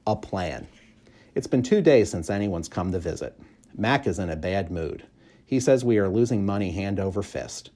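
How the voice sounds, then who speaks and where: A man reading a children's story aloud in expressive, measured, clearly phrased narration. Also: low voice. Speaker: audiobook reader, school staff member.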